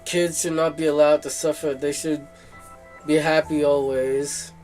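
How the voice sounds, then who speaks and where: A man's voice: fairly high pitch, flat delivery, unhurried, a little mellow, soft background music. A man streaming a game, reacting casually and emotionally to the story with exclamations. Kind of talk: casual live gameplay reaction.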